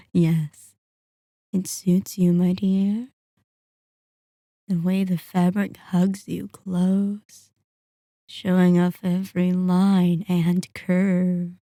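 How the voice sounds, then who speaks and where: A woman playing a seductive, sadistic vampire in an ASMR roleplay, courtly and teasing, with breathy murmurs. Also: lowish voice, flat delivery, extremely slow, long pauses, warm tone, dry recording, studio quality. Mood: seductive, admiring. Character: seductive, sadistic, possessive female vampire, toying host. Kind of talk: ASMR roleplay, scripted character acting.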